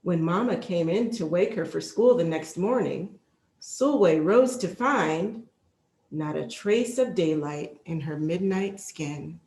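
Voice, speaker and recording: A woman reading a children's picture book aloud in an expressive, deliberate storytelling manner. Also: fairly low pitch. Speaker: storybook reader, library executive. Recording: roomy, echoing sound.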